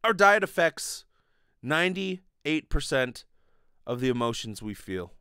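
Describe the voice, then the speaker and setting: A man's voice: fairly high pitch, big pitch swings, bright, forceful tone, clear, resonant tone, no echo, studio quality, exaggerated voice. Man putting on a smug, portentous keynote speaker. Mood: earnest, smug. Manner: portentous, deliberate, dramatic pauses. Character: smug, earnest male TED-style keynote speaker parody. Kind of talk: parody of polished inspirational speech.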